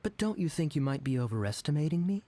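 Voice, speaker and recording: A man voicing a sly, playful male knight in a video game, who talks smoothly and mockingly. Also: on the high side, very animated, fast talker, background noise. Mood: teasing. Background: game music.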